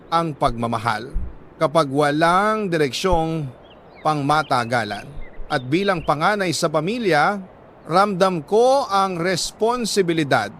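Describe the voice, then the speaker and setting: A Filipino man narrating a first-person story dramatically, in a sentimental, deliberate delivery with short pausing phrases. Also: fairly high pitch, a bit bright, slight background noise. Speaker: radio storyteller reading a listener's letter.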